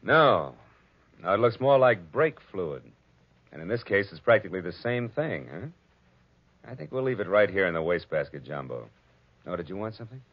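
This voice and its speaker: An actor playing a tough, wisecracking American private detective with a sardonic, clipped manner in 1940s radio style. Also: male voice, low-pitched, big pitch swings, normal speed, heavily acted. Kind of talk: 1940s radio acting, hard-boiled first-person narration. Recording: dull old radio recording with music.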